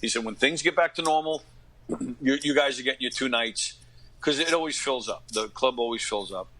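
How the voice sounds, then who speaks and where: A middle-aged man telling casual anecdotes as an interview guest, acting out what people said and often clearing his throat. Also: mid-range voice, fairly quick, low-quality recording. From New York area, USA. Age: late 40s to 50s. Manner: animated retelling with acted-out quotes and frequent throat-clearing. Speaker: podcast guest, actor and stand-up comic.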